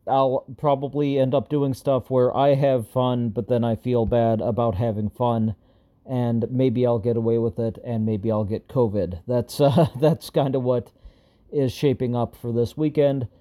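Rambling man with a strained, raspy voice. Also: medium pitch, fairly even intonation, unhurried, mellow. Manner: rambling, self-deprecating, many fillers. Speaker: podcast host. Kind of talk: casual solo podcast update.

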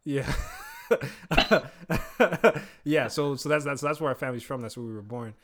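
A man's voice: mid-range voice, a little slow, crowd noise. Young man telling family stories, laughing. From born in Zambia, family from Congo. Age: 20s. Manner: laughs often, animated storytelling. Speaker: podcast co-host. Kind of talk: casual chat.

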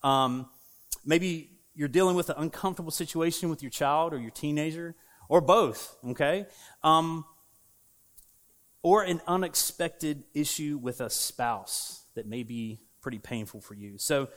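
A man's voice: on the high side, measured pace. A man with a Southern American accent preaching a sermon as a pastor, in a casual, conversational way with frequent fillers and asides to the congregation. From United States. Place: church sanctuary.

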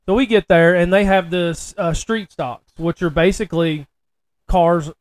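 A man's voice: high-pitched, a little slow, no echo. A man, a podcast co-host telling stories in a casual, folksy, emphatic way. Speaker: podcast co-host, racing personality. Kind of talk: casual chat, animated storytelling.

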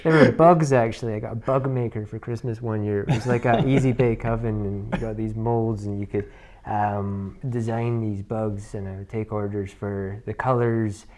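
A man's voice: on the low side, fairly even intonation, moderate pace, hardly any pauses, a little mellow. A Scottish man from Inverness, maybe in his thirties, telling his business story in a chatty, wry way, often correcting himself. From Scotland. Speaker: business co-founder guest. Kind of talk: casual interview chat.

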